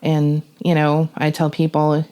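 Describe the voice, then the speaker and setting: Low, mellow-voiced middle-aged woman. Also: monotone, unhurried, smooth. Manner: plainspoken, matter-of-fact. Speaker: police dispatcher, interview guest. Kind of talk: conversational interview, recounting work.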